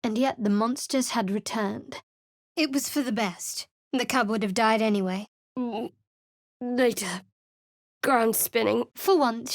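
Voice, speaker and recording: Woman voicing a secretive cleric from a game, dry and sarcastic, a bit high, super dry studio sound. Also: no echo, lightly acted. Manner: dry, wry and cool. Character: guarded, sardonic young woman cleric with secrets. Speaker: companion character in a video game.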